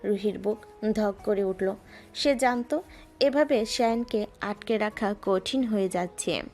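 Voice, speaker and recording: A woman narrating a love story in a soft, even manner, her voice at a middle pitch. Gentle music plays underneath throughout. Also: unhurried.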